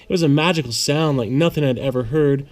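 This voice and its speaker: A man's voice: on the high side, darkish tone. A man, a father, narrating a children's bedtime storybook to his child in a plain read-aloud manner. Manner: pauses to answer child's questions simply.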